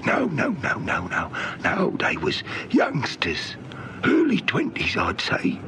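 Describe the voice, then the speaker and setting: An old down-and-out informant in a vintage radio drama. His voice is high and creaky, swinging up and down as he rambles eagerly and repeats himself. The old recording is noisy. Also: wildly swooping pitch, a bit bright, full character voice. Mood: confiding, rambling. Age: older. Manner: rambling, halting, colloquial speech with repeated words. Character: garrulous, eager old hobo informant named Joe.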